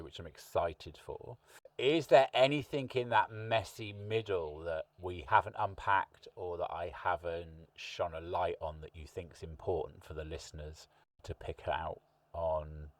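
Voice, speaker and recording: A man's voice: low voice, a little mellow, dry recording. A middle-aged man hosting a podcast, talking in a thoughtful, musing way with long rambling sentences. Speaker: podcast host. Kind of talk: reflective interview chat. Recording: distant mic, dry sound.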